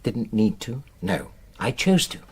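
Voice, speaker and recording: A man's voice: on the low side, very animated, a little slow, very noisy. An actor playing a defensive, indignant middle-aged English husband and father in a naturalistic radio drama, speaking in an emphatic, clipped, self-justifying way.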